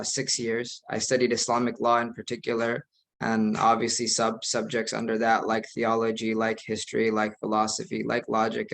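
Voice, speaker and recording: Young male imam, earnest, steady monotone delivery. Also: fairly low pitch, gravelly. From United States. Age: young adult. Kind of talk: online presentation.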